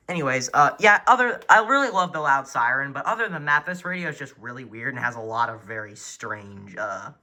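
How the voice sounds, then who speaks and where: A man's voice: mid-range voice, lively intonation, normal speed, sharp tone. A man giving a casual, chatty show-and-tell narration at home as an enthusiastic hobbyist, filming with a handheld camera. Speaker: weather radio collector presenting his collection. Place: indoor room at home. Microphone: handheld camera, speaker off screen. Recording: faint noise, clicking of buttons.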